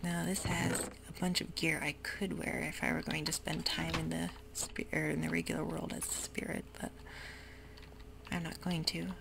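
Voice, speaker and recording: A woman giving soft-spoken, whispery commentary while she plays a computer game, with frequent ums. Keyboard typing can be heard close to the mic. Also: deep voice, level tone, moderate pace, creaky. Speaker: ASMR gaming creator. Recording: noisy home recording.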